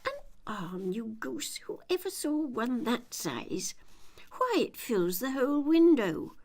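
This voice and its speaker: A woman voicing an angry, bossy male rabbit in a storybook, scolding and giving sharp commands. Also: on the high side, big pitch swings, slow pace, pitched above the performer's own voice, full character voice. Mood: angry, impatient.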